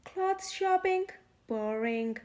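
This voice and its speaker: A stubborn little boy bear from a storybook, acted out by a woman, whining and grumbling in a high voice with big swoops of pitch. He sounds put-upon and sulky. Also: animated, slow, darkish tone, heavily acted. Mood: grumpy, complaining. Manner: whiny, grumbling complaints. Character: grumpy stubborn young boy bear cub. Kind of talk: children's storybook character voice.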